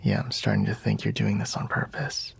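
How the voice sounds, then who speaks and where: A man playing a flustered, secretly tender young bodyguard in an intimate ASMR roleplay, speaking haltingly in a husky, breathy near-whisper. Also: fairly low pitch, wildly swooping pitch, full character voice. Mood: grudging, defensive. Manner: hesitant, halting, grudgingly soft, frequent sighs.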